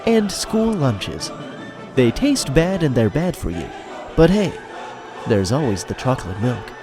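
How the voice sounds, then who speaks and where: Male storyteller, wry scripted narration, lively swings, medium pitch, music underneath. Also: measured pace, a crowd in the background. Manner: wry, storytelling asides to listeners.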